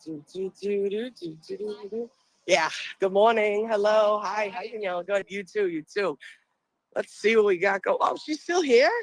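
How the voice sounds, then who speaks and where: A woman with a Boston accent and a deep voice, chatting casually and rambling as a livestream host, laughing often, on a phone outdoors. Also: normal speed, no room sound. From Boston area.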